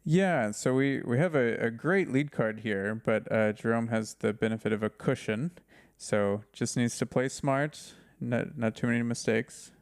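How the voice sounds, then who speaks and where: A man's voice: mid-range voice, a little slow. Easygoing American man commentating. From United States. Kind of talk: sports commentary.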